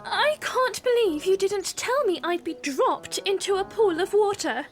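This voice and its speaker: A woman playing a shy, earnest young princess in a fan audio drama, speaking politely and haltingly. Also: very high voice, animated, soft background music. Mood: amused. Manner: hesitant, halting, polite formal phrasing.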